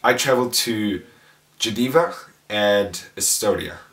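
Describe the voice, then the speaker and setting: A calm young man talks in an unhurried way about his years at school abroad. He sounds set back from the mic in an echoey room. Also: on the low side, far from the mic. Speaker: student alumnus. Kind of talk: short testimonial snippets.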